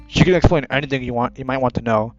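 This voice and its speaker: A man voicing a schoolteacher in a visual novel, speaking to the class in a loud, announcing tone. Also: medium pitch, quick pace, dull audio, soft background music, natural voice. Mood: upbeat.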